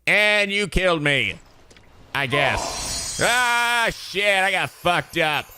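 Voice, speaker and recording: A man's voice: high voice, lively intonation, slow pace, background noise. A man giving casual, playful reaction commentary to game cutscenes, joking and swearing freely. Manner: profane, jokey, playful.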